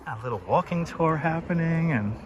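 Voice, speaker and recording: A Puerto Rican man with a naturally loud voice, hosting a casual walking live stream outdoors and greeting viewers by name on a clip-on mic. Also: on the high side, expressive, moderate pace, muffled recording, slight background noise. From Puerto Rico. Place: outdoors on an island in a city. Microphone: wireless clip-on mic. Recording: clear outdoor street ambience.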